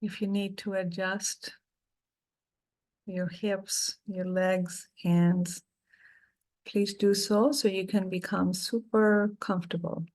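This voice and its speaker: A middle-aged woman leading a guided meditation, speaking softly and soothingly with long pauses between phrases. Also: medium pitch, steady tone.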